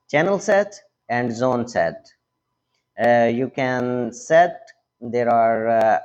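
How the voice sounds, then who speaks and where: Slow-paced man giving a halting tutorial, muffled audio. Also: mid-range voice, darkish tone. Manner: instructional, halting delivery in short phrases. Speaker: tech tutorial host. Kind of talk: hands-on step-by-step product tutorial.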